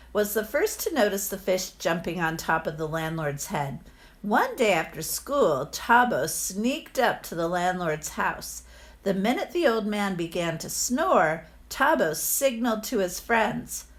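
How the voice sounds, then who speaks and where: A woman reading a children's storybook aloud expressively, like a teacher to her pupils. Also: medium pitch.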